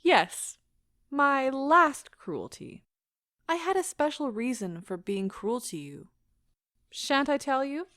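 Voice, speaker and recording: An actress playing an anguished, remorseful but defiant wife in an audio drama, speaking in an impassioned, deliberate, theatrical way. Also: female voice, high voice, big pitch swings, a bit bright, no echo, clean studio sound, full character voice. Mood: resigned, sorrowful. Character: anguished wife confessing to her husband, remorseful, wounded, bitterly defiant.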